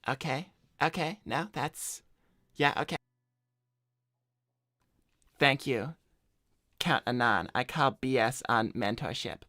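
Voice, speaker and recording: A man's voice: medium pitch, takes it slowly, long pauses, pushed and bright, dry recording, studio quality. A man voicing a cocky, broke hedgehog slacker in an improvised cartoon livestream, casual, blunt and self-assured. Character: cocky, broke male hedgehog slacker giving shady advice. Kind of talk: improvised in-character livestream chat.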